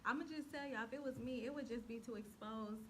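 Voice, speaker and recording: High-pitched playful woman host, noisy room. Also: fast. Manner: playful, teasing, giggly. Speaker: talk show host. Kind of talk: casual, bantering talk show interview. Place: small, crowded room.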